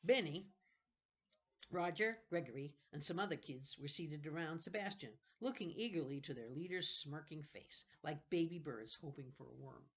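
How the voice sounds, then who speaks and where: A woman's voice: sits low, moderate pace, slightly rough. A woman reading a children's novel aloud expressively, with casual asides and the odd self-correction. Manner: expressive, stops to correct misreadings.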